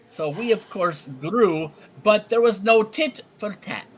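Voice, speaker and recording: A man voicing an Irish triplet in a bar joke, with a deliberately bad put-on Irish accent. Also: high voice, pitched above the performer's own voice, rough recording. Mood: hammy.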